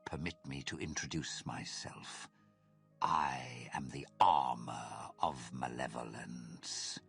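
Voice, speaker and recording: Deep, sinister, courtly armour spirit. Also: male voice, fairly even intonation, vocal fry, a bit bright, slightly rough, soft background music, heavily acted. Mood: sly, courtly. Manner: theatrical, formal, suave, drawn-out menacing phrasing. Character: sinister, courtly male spirit living inside cursed armour. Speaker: video game character in a fantasy mod. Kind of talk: theatrical fantasy game voice acting.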